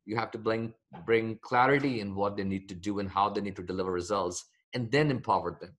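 Low-voiced Indian-accented man on a video call. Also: fairly quick, a bit bright. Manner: hesitant, many fillers and restarts. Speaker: company founder, guest. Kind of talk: casual interview answers. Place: home office with bookshelves, window behind.

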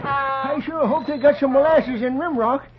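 An actor playing a crusty, folksy old American prospector with an exclamatory frontier drawl in a radio western. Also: male voice, very high voice, normal speed. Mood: friendly, folksy. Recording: muffled, noisy vintage radio broadcast.

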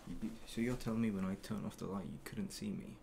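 A man in his twenties doing a soft-spoken ASMR roleplay as a mechanic, murmuring slowly and haltingly and sometimes slipping toward a whisper. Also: fairly low pitch, steady tone, creaky, slight background noise. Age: 20s. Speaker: ASMR roleplayer playing a robot repair mechanic.

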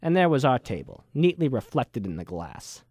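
Man playing a wry hardboiled noir detective type, very animated. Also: medium pitch, moderate pace, breathy edge, no echo, studio quality. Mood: suspicious, wry. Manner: wry, world-weary, slangy. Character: cynical wisecracking male ex-journalist, hardboiled noir narrator. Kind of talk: hardboiled first-person noir radio drama narration.

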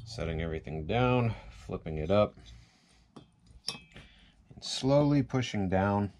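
A man's voice: lowish voice, animated, takes it slowly, a little mellow, a little hiss or hum. A man giving a casual hands-on repair tutorial, thinking aloud informally as he works at a workbench. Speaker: chainsaw repair demonstrator. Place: cluttered indoor workshop at a workbench.

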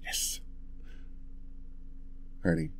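A man playing an affectionate, playful young husband in an ASMR roleplay, talking softly and tenderly in a casual, hesitant way. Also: very slow. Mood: playful, admiring. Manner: soft, hesitant, chuckling, tender. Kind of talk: ASMR boyfriend roleplay, intimate casual chat.

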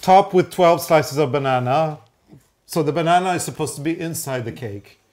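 A man's voice: on the high side, moderate pace, a little mellow. A man, teasing his partner and reading recipe steps aloud in a home kitchen. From Norway. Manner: teasing, reads instructions aloud, laughs. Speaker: co-host. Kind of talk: casual baking chat. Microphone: unseen mic, medium-distance camera.

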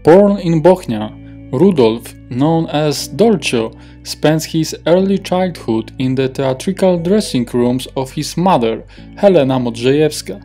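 A man's voice: on the high side, expressive, measured pace, mellow, faint music behind. A man in his thirties with a Polish accent, hosting a history channel and reading a scripted narration carefully from a home office on a lapel mic. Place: home office with desk and plant.

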